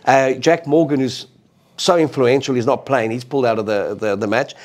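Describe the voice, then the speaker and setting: A man's voice: medium pitch, fairly even intonation, normal speed, a little mellow. A man hosting a rugby chat, fluent as he recounts match history in detail. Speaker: podcast host. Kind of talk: casual rugby discussion.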